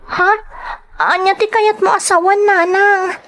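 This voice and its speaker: A woman plays a troubled high school daughter in a radio-style drama. Her voice is very high, and she pleads in an earnest, plaintive way, as a dutiful girl would. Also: steady tone, unhurried, a bit bright. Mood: upset, protesting. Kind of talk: dramatic Ilocano radio-style serial acting.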